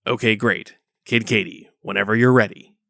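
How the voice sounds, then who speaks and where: A frazzled superhero stage director in an audio drama. The voice sits at a middle pitch and is businesslike and blunt, with an exasperated edge, in a natural conversational style. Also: steady tone, normal speed, vocal fry, bright, forceful tone, dry recording, clean studio sound. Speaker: director of a musical in a story.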